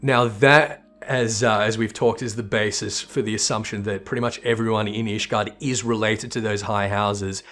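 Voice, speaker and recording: A man's voice: on the low side, steady tone, moderate pace, hardly any pauses, fairly bright tone. A man giving casual, reflective commentary as he plays through a video game. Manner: reflective, musing. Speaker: gaming channel host.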